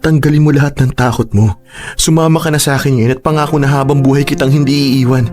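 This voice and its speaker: A man's voice: medium pitch, fairly even intonation, quick pace, music in the background, natural voice. A man with a Filipino accent acting a persistent, emotional young man in love in a radio drama. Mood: pleading. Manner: teasing, insistent, emotional.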